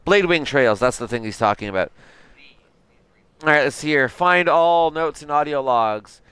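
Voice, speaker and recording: A man in his thirties doing casual livestream game commentary, halting as he thinks aloud and reads notes. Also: fairly high pitch, expressive, fairly bright tone, smooth, no room sound. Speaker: game streamer.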